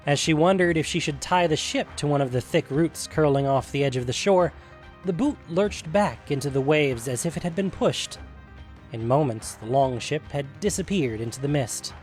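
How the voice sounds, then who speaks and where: A man's voice: on the high side, normal speed, a little mellow. Male fantasy story narrator over music.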